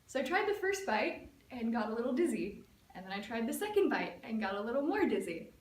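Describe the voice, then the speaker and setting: A young woman from the United States gives a storytelling speech with a somewhat high voice, chatting casually and dropping in plenty of "like" and "um". She sounds a little distant from the mic. The room adds a clear echo. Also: sing-song, brisk. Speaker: student giving a speech. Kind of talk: prepared personal storytelling speech. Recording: echoey room, mic at a distance, faint ambience.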